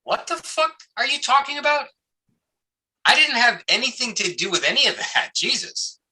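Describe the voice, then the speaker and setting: A man acts out a defensive policeman and army veteran in a staged play. The voice is very bright, hard and forceful. He is blunt, profane and quick to dodge a question. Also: very high voice, big pitch swings, slightly rough, exaggerated voice, rough recording. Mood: exasperated. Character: defensive, foul-mouthed male cop and army veteran. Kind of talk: live staged play reading.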